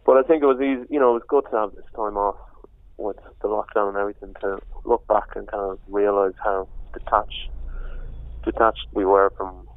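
A man's voice: fairly low pitch, steady tone, brisk, no echo. A young man from Spain, a band musician speaking reflectively in an interview, full of "you know" fillers. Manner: soft-spoken, many "you know" fillers. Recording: tinny phone-line sound.

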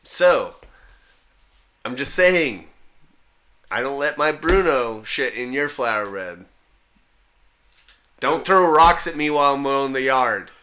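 A male podcast co-host with a bright, forward voice at a middling pitch. He rambles through anecdotes in a blunt, salty, joking way. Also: lively intonation, takes it slowly, pauses often. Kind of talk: casual comic banter and rambling anecdotes.

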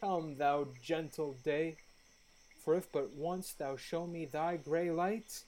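A man's voice: fairly high pitch, fairly even intonation, slow, a little mellow. An actor playing a hot-headed young nobleman in a Shakespearean radio play, declaiming defiantly in verse. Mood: weary, vengeful. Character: hot-headed young Athenian nobleman challenging his rival.

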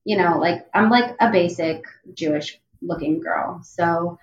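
Casual American woman guest, chatty and quick to laugh, heard in a roomy, slightly dull recording. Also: lowish voice. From New Jersey. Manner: chatty, many fillers, laughs easily. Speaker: podcast guest. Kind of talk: casual conversation.

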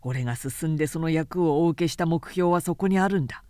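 Woman acting a dutiful samurai man, audiobook character, formal, quiet, firm, deliberate, dry recording. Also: sits low, clean tone, no echo, close to an everyday voice. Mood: solemn resolve. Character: resolute, calm samurai man, principled and dutiful. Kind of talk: dramatic audiobook character reading.

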